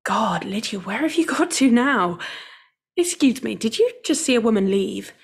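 A woman playing a flustered woman anxiously searching for her missing sister in a radio play, husky and creaky. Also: a little high, expressive, moderate pace, heavily acted. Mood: anxious, urgent.

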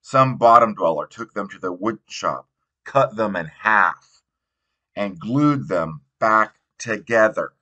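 Male audiobook narrator, slightly low pitch, bright forward tone, reading teen fiction. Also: animated, takes it slowly, lo-fi sound. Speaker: audiobook reader. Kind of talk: read narration of a young adult novel.

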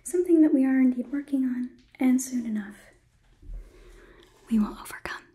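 A woman in her twenties whispering softly and breathily in an ASMR roleplay as a polite, condescending robot overlord, with formal, measured phrasing. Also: high voice. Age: 20s. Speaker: ASMR performer. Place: small indoor studio set. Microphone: close microphone, off camera.

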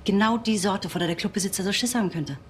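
A woman's voice: medium pitch, fast, a little hiss or hum, close to an everyday voice. An actress playing a tough police detective in her thirties who talks blunt, streetwise German. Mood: determined. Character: tough young female Berlin police detective. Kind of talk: crime series drama.

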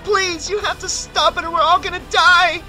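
Guy doing a panicky young woman for an audiobook, really high n shrill, pleading and desperate. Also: steady tone, takes it slowly, sharp tone, faint music behind, full character voice. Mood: frantic. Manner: insistent, begging.

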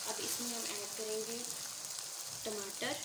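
A woman's voice: on the high side, flat delivery, unhurried, very noisy. A woman hosting a home cooking channel, explaining each recipe step and speaking directly to her viewers. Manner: instructive, addresses viewers directly. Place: home kitchen at the stove.

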